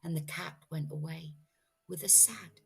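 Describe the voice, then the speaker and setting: A woman reading a children's storybook aloud as a teacher, with expressive, dramatic phrasing. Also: low-pitched, unhurried, creaky. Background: music.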